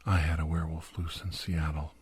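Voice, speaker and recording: A man tells a horror story in breathy ASMR whispers, solemn, dramatic and deliberate, close to the microphone. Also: very deep voice, level tone, no echo. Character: male doctor solemnly recounting a werewolf horror tale.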